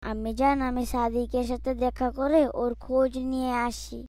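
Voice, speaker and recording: A high, young schoolboy voice performed by a woman, polite and gentle, recorded close and dry. He speaks earnestly and respectfully, like a devout child in a moral cartoon. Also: steady tone, moderate pace, dry recording, natural voice. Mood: pleased. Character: pious, polite, gentle schoolboy son, Raihan. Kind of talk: scripted Islamic cartoon dialogue.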